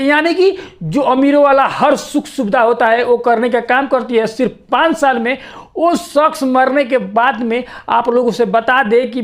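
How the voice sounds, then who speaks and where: A man giving informal, opinionated news commentary to viewers in a repetitive, insistent way. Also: extremely high pitch.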